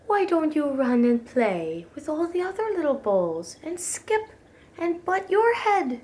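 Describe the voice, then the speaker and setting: A woman's voice: high-pitched, sing-song, measured pace, a little mellow, a little hiss or hum, pitched above the performer's own voice. A woman voicing a worried, caring mother cow in a storybook, coaxing her son in a motherly way.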